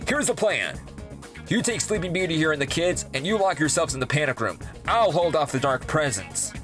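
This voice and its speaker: Woman as a cocky, low-voiced heroic hedgehog king. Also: very animated, moderate pace, music in the background. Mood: determined. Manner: breezy, confident. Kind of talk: animated comic dub acting.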